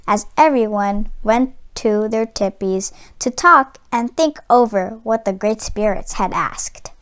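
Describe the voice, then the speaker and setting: A woman reading a children's story aloud as scripted, expressive narration. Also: medium pitch, takes it slowly, smooth. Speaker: storybook reader.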